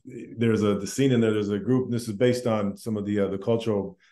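Halting low-voiced Midwestern man in echoey room. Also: fairly even intonation, dark tone. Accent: American Midwestern. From Minneapolis, United States. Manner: halting, many fillers and restarts. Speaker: PhD student, panel attendee. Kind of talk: casual spoken comment on a video call. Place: small bare room. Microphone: video call webcam.

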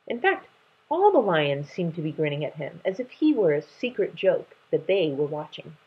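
A woman's voice: deep voice, sing-song, moderate pace, slightly rough. A young adult woman reads a story aloud expressively, recorded at home on a laptop mic. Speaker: storybook reader. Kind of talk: expressive read-aloud narration. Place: small room at home, indoors. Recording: dull, lo-fi webcam sound.

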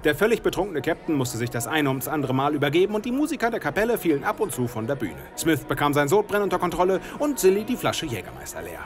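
Deadpan man narrating over music. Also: on the high side, fast, hardly any pauses. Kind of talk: scripted audiobook narration.

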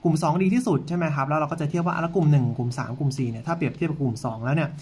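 A man's voice: a little high, very fast, a little mellow, slight background noise. A young man from Thailand, a medical intern, talking in a casual, polite vlog style and explaining things step by step to a handheld phone camera. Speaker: medical intern vlogging his research stay. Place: indoors in a library and outdoors in snow. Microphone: handheld phone camera, selfie framing. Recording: voice over background music.

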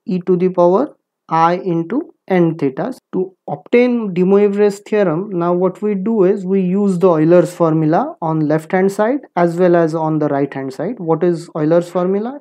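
A woman lecturing in mathematics, explaining step by step in a deliberate, instructive manner and posing questions that she answers herself. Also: on the low side, mellow. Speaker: physics lecturer.

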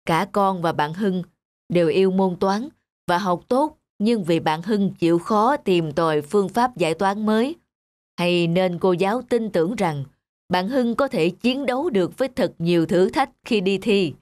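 A woman voicing a patient, encouraging cartoon father who reasons gently and instructively. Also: mid-range voice, moderate pace, lightly acted. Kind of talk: cartoon dialogue. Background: music.

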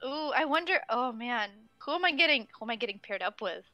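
A woman's voice: a little high, animated, a little fast, pushed and bright, no room sound, dull audio, soft background music. A woman from Russia, speaking English as a while chatting and walking friends through a game on a stream. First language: not English. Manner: chatty, guides others through game menus. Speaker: stream host. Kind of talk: casual gaming stream chat.

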